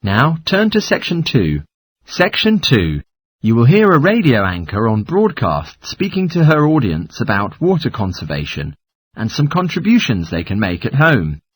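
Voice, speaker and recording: A man with a husky voice reading scripted exam instructions as a test narrator. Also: medium pitch, wildly swooping pitch, normal speed, no echo.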